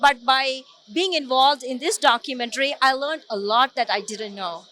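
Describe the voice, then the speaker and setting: A woman's voice: high voice, moderate pace, sharp tone. A woman from Sri Lanka, a film's producer, answering a reporter earnestly and gratefully. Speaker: cultural consultant and executive producer. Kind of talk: event interview answer.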